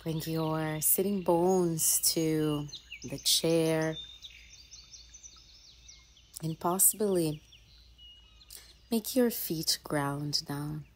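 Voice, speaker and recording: Slow, low-voiced woman guiding a meditation. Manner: soothing, gentle, with long pauses between short phrases. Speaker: yoga and mindfulness teacher leading a guided meditation. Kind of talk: guided meditation. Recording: faint ambient noise with birdsong. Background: birdsong and nature ambience.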